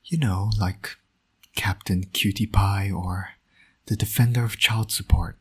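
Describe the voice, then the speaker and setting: Husky, teasing villain dad played by a man. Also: on the low side, fairly even intonation, a little slow, fairly bright tone. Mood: teasing persuasion. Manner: teasing, wry, flirtatious. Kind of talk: intimate scripted audio roleplay.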